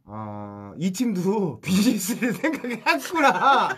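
A male radio host with a fairly high, very animated voice, chatting in a loose, joking way. He keeps pushing his guest with playful questions. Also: slow pace, slight background noise. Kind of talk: casual radio chat.

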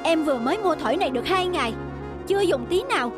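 A strict stepmother in a children's cartoon, pitched high. She is stern and quick to scold, though loving underneath. Music plays clearly behind her. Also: animated. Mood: angry scolding. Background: background music.

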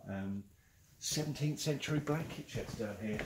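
A man's voice: medium pitch, moderate pace. A man in his forties with an English accent, an auctioneer chatting enthusiastically as he walks a camera round a large cluttered saleroom. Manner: chatty and enthusiastic, chuckling often. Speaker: auctioneer giving a saleroom tour. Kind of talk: casual walk-through commentary. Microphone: handheld camera following him. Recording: noisy saleroom, walking camera mic.

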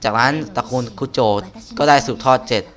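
A man voicing a stern, imperious female shogun from a game, reading formal lines haltingly. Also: mid-range voice, a bit bright, natural voice. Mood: stern, challenging. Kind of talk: reading game dialogue aloud.

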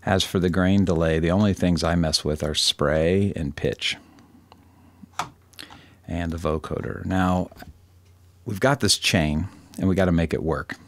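A man with a very low voice and plenty of vocal fry presents a software tutorial. He talks informally and thinks aloud, poking a little fun at himself. The recording is close and dry. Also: steady tone, unhurried, pauses often, dry recording. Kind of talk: casual screen-recorded tutorial.

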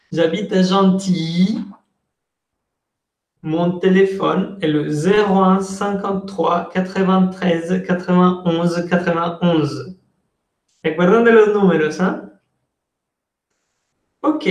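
A young man, a Spanish speaker, teaching an online language class with careful enunciation and repetition for learners. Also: high-pitched. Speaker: French teacher. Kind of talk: online language lesson, switching between French and Spanish. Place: indoor room, live online class. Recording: roomy, echoing indoor sound.

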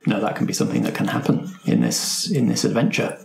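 A man's voice: on the low side, wildly swooping pitch, fast, creaky, a little mellow. A man casually moderating a roleplaying group's discussion as game master and host. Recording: roomy, echoey sound.

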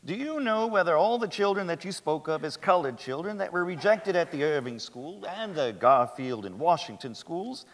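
A man's voice: on the high side, lively intonation, moderate pace, hardly any pauses, close to an everyday voice. A man playing a skeptical lawyer pressing witnesses in a staged period courtroom drama. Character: pressing, skeptical cross-examining lawyer in an 1890s trial. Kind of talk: staged dramatic reading of a trial. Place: large theater stage, indoors. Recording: hall reverb from a theater stage.

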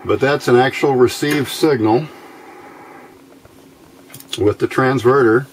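A man giving a casual hands-on demonstration of homemade gear in an unhurried, halting, explanatory way. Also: mid-range voice, rough recording. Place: small indoor workbench by a window. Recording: faint background noise.

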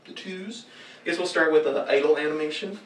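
A man's voice: a little high, fairly quick, a little hiss or hum. A young man in costume chatting casually and laughing at himself while he acts out moves in front of a green screen. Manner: giggly and self-mocking, chatty. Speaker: cosplayer filming fighting-game moves. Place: green screen room indoors. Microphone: far camera mic. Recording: echoey room, mic set far off.

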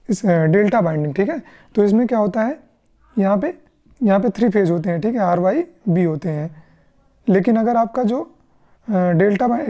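A woman's voice: mid-range voice, muffled recording. A woman with an Indian accent teaching exam questions step by step, explaining and often checking in with short tag questions. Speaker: electrician exam tutor. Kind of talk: question-and-answer lesson explanation.

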